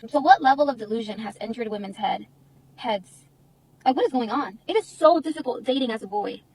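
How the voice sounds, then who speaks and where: Exasperated woman ranting fast. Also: a little high, animated, darkish tone, a little hiss or hum, rough recording. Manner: exasperated, complaining. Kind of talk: casual vlog rant.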